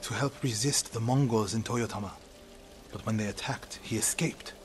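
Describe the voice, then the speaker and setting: Earnest young warrior monk male actor, medieval Japan game drama, solemn, measured, grieving, over music and rain. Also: lowish voice, natural voice. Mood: reflective. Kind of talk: dramatic video game voice acting.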